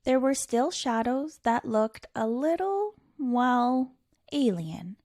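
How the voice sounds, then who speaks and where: A woman with a fairly high voice reads a picture book aloud to young children. She is theatrical and unhurried, stretching key words and pausing for drama. The recording is close and dry. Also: lively intonation, a little mellow, no echo. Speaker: storytime reader.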